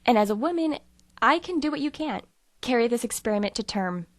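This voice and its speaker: A woman's voice: a little high, expressive, a little fast, no echo, studio quality, close to an everyday voice. A woman playing an eager, self-assured postdoc lab assistant in a satirical sci-fi audio drama. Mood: determined. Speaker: actor.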